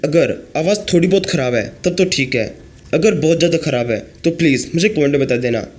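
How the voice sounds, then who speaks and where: A hoarse man narrating a comic story recap as the channel's host. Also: fairly high pitch, quick pace. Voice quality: husky.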